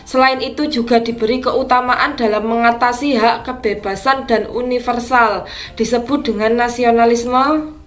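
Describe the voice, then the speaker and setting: A woman teacher going through practice exam questions, explaining each answer slowly and deliberately. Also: a little high, a bit bright.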